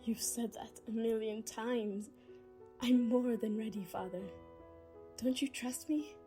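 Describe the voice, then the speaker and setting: Sheltered vampire daughter, played by a woman: gentle, young, unhurried and warm-toned, for audio roleplay. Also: on the high side, soft background music. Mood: pleading, frustrated.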